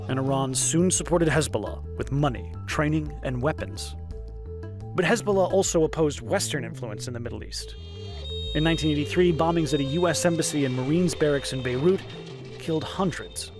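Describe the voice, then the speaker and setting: Guy doing news explainer voiceover, voice a bit high, music behind him. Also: brisk. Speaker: news correspondent narrator. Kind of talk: scripted news explainer narration.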